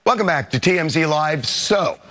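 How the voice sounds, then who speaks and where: A man's voice: a little high, expressive, moderate pace, music in the background. A man hosting a talk show, chatting in an opinionated, conversational way while losing his voice. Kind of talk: casual newsroom chat.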